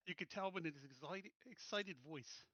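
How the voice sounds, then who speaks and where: Bright, forceful man playing a tabletop game. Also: sits high, no room sound, lo-fi sound. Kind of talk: casual game-table chat.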